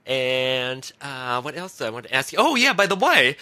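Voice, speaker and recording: A man from Malaysia hosting a casual podcast chat, animated and teasing, often laughing. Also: medium pitch, moderate pace, no echo. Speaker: podcast host.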